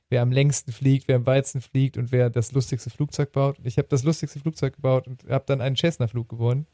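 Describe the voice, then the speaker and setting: A man's voice: medium pitch, dark tone, no echo. A man co-hosting a podcast, telling anecdotes in an informal, rambling conversational way. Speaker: podcast co-host.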